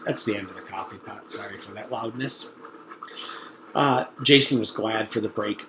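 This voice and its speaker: A man's voice: lowish voice, normal speed. A man in his forties, a teacher, reading a children's story aloud with casual recaps. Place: room at home. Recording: roomy echo, background noise.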